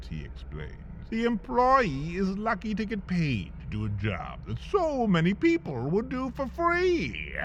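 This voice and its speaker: A man's voice: on the high side, fairly bright tone, a little hiss or hum. A man reading a scripted comic story in the second person, with theatrical, dramatic delivery and wry understatement, slightly muffled over faint ambience. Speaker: podcast host and narrator. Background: faint ambient sound effects.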